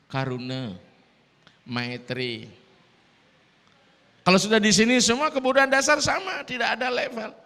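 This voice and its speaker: High, bright Sulawesi-accented priest preaching. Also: male voice, measured pace, creaky, pauses often. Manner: lively preaching mixed with English phrases. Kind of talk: dharma talk sermon. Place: large hall at a temple gathering. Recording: long reverb of a hall.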